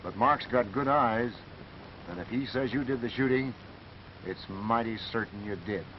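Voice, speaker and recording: A man's voice: medium pitch, normal speed, natural voice. Accusing American ranch boss, a man acting, mid-range voice, 1930s western, faintly noisy track. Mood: insistent, accusing. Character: gruffly insistent rancher boss accusing a rival. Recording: dull, hissy 1930s film soundtrack.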